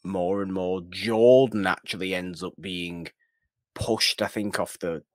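A man co-hosting a podcast, giving casual film commentary in deliberate, halting, emphatic phrases. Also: deep voice, fairly even intonation, no room sound, studio quality. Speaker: podcast co-host.

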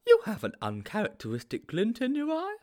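Muddled storybook sidekick, very high voice, timid, plaintive, naive, admiring, swooping intonation. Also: male voice, wildly swooping pitch, fairly quick, a little mellow. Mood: worried. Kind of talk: storybook character voice.

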